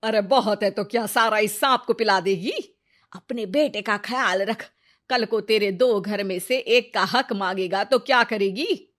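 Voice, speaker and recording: A woman's voice: medium pitch, unhurried, fairly bright tone, close to an everyday voice. A woman playing a plaintive, nagging mother of a poor household in a cartoon family drama. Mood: scolding, spiteful. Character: worried, scheming mother of a poor household, turning spiteful grandmother. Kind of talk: Hindi cartoon moral-story dubbing.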